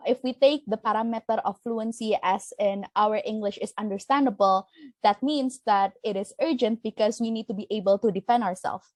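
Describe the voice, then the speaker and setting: A woman's voice: mid-range voice, moderate pace, a little mellow, no room sound. A woman from Indonesia, earnestly explaining her reasoning step by step as a panelist. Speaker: discussion panelist. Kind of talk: debate-style discussion.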